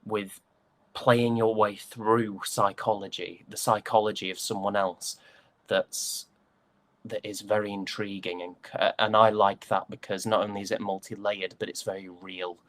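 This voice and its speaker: A man from Yorkshire with a softened British accent, chatting reflectively and hesitantly as an interview guest. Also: lowish voice, level tone, measured pace. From Yorkshire, England. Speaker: interview guest, stage and voice actor. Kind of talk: casual interview chat.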